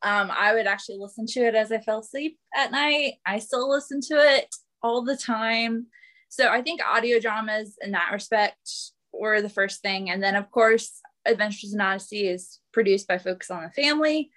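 A woman's voice: on the high side, moderate pace, a bit bright. A woman in her late twenties chatting casually as a podcast guest, speaking with emphatic enthusiasm. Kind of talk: casual chat.

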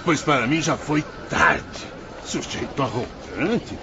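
A man's voice: mid-range voice, lively intonation, takes it slowly. A man playing a hostile, scornful townsperson with a harsh manner in a radio drama. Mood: contemptuous. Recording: muffled, noisy with crowd.

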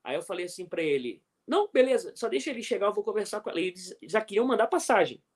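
A middle-aged Brazilian man with a Brazilian Portuguese accent, a guest coach telling animated anecdotes in a casual interview and often checking that the listener follows. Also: high-pitched, quick pace, husky. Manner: animated anecdotes, frequent "entendeu?", chuckles.

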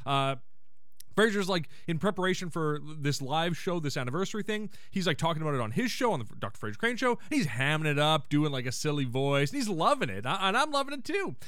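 A man hosting a podcast, giving a casual, slangy comedic recap in an excitable way and acting out quotes. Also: fairly high pitch, fast talker, dry recording, studio quality. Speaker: podcast host.

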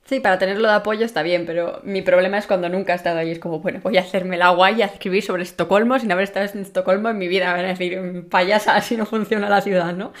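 A woman's voice: mid-range voice, steady tone, quick pace, few pauses. A woman hosting a podcast, chatting casually and enthusiastically in informal slang as she interviews a writer. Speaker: podcast host.

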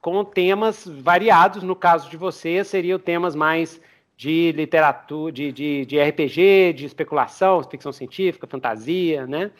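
A man's voice: on the high side, normal speed. A Brazilian man hosting a podcast in casual, enthusiastic interview chat. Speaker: podcast host.

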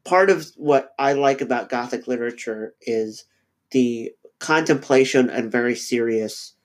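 Male podcast co-host with a cold, slightly nasal; reflective, halting, full of ums and restarts. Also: mid-range voice. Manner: halting, many fillers and restarts; reflective, thinking aloud. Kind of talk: casual podcast discussion.

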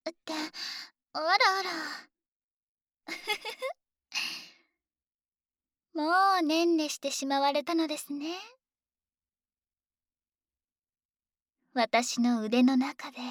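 A woman voicing a devoted, formal female dragon maid who dotes on her young master, in a soft, often whispered sleep roleplay. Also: high voice, no echo, studio quality. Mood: doting. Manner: formal, doting and gently teasing.

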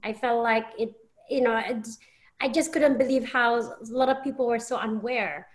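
A woman's voice: on the high side, moderate pace. A young English woman, a podcast guest, talking casually with many restarts and fillers. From England.

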